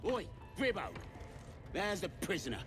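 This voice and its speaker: A man voicing a crude, jeering goblin guard in a video game, with a British accent and a rough, mocking manner. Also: sits high, very animated, a little slow, over music. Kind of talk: video game character acting.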